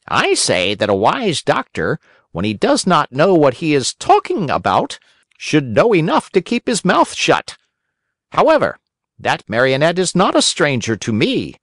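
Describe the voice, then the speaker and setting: A man's voice: a little high, wildly swooping pitch, unhurried, a bit bright, no echo, studio quality, voice pushed up, exaggerated voice. Man doing a stern lecturing cricket doctor. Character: stern pompous old male cricket doctor.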